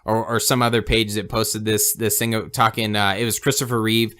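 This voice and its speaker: Halting, easygoing podcast man. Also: lowish voice, flat delivery, sharp tone. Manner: halting, many restarts, casual swearing. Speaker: podcast co-host. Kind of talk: casual chat, film review.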